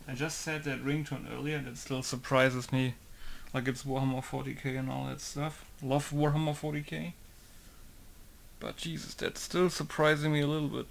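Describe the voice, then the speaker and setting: A man's voice: mid-range voice, little pitch movement, moderate pace, creaky. A young man modding a game, chatting casually in rambling asides while he works at his computer. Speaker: game modder. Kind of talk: casual working commentary.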